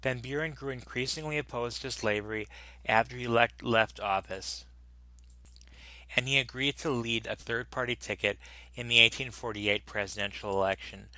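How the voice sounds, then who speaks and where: A man reads an encyclopedia article aloud at a steady, unhurried clip, sometimes tripping over a word and going back to fix it. His lowish voice has a bright, cutting edge. The recording is close and dry, with almost no room sound. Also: no room sound, poor audio. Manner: steady reading with occasional stumbles and self-corrections. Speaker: volunteer reader of an encyclopedia article. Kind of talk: plain read-aloud narration.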